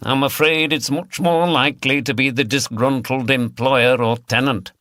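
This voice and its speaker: A man's voice: mid-range voice, steady tone, moderate pace, sharp tone, dry recording, pitched above the performer's own voice, natural voice. A man voicing a confident, wry pub joker who teases his companions in an audiobook. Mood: teasing. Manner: teasing, wry.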